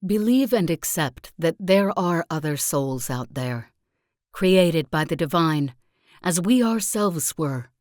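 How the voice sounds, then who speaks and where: A low-voiced female sect leader for a strategy game. She delivers her lines slowly and solemnly, like a preacher who is wholly sure of her creed. The sound is dry and close. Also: expressive, darkish tone, no echo. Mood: solemn, inspiring. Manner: solemn, deliberate, sermon-like oratory. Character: zealous self-help guru and sect leader, preaching with calm conviction. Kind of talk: scripted video game character monologue.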